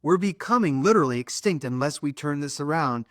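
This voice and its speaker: A man's voice: fairly high pitch, expressive, brisk, fairly bright tone, no room sound, studio quality. Earnest preachy man, spiritual talk. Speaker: co-host.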